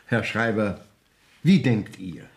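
An actor playing a stern, dignified court official in a radio play, speaking in a formal, declamatory manner. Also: male voice, lowish voice, sing-song, slow, vocal fry, heavily acted. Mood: grave, questioning. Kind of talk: 1970s radio drama acting.

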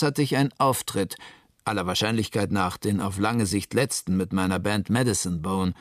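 Man acting musician narrator in a radio play, slightly deep, dry close sound, matter-of-fact scripted delivery. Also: no echo, close to an everyday voice. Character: band musician matter-of-factly recounting his return to Tokyo.

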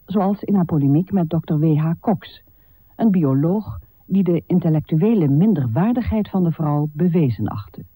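A man narrating a scripted documentary in a measured, formal manner. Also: on the high side, animated, dark tone, dry recording.

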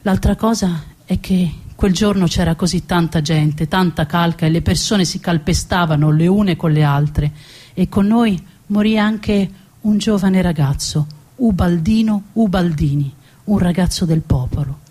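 A woman performs a proud, defiant noblewoman in a theatrical storytelling monologue. The voice is mellow and husky, sitting on the low side. Delivery is deliberate and dramatic, with words repeated for emphasis. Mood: solemn, proud. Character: proud, defiant executed noblewoman recounting her own beheading.

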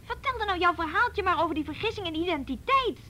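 Teenage daughter: very high, sharp voice, mouthy and hurt, radio play with faint noise. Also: female voice, expressive, fast talker, heavily acted. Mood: defiant, sarcastic. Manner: indignant, pleading, mouthy. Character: defiant, hurt sixteen-year-old daughter, sarcastic and quarrelsome. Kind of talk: Dutch radio drama acting.

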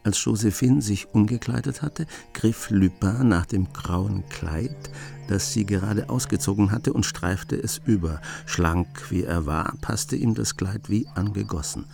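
A male narrator of a radio drama, telling an adventure tale in a measured, literary manner. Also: on the low side, vocal fry, a little mellow, soft background music. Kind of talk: scripted narration.

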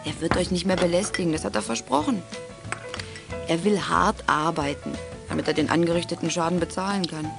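An actress playing a calm, reassuring adult passing on news in a children's audio drama, in an informative way. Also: female voice, on the low side, fairly quick, vocal fry, music in the background.

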